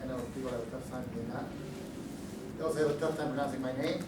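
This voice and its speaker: A man with a Romanian accent giving a technical conference talk, halting with many fillers and restarts. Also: medium pitch. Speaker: conference speaker. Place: large echoey conference room. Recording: echoey room audio, distant mic, background noise.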